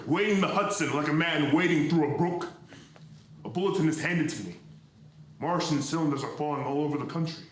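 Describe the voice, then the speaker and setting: A man's voice: a little high, a little hiss or hum, lightly acted. A man playing a grave, increasingly desperate 1930s radio announcer in a live radio drama, with clipped, dramatic bulletin delivery. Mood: tense dread. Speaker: actor. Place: live stage performance in a hall, music behind. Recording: very echoey live theatre recording.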